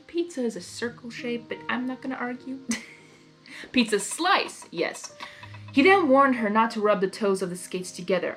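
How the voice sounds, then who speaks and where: A woman's voice: mid-range voice, fairly bright tone. A woman reading a story aloud expressively, with informal, reactive asides. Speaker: story reader. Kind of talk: expressive fan fiction read-aloud with chatty asides.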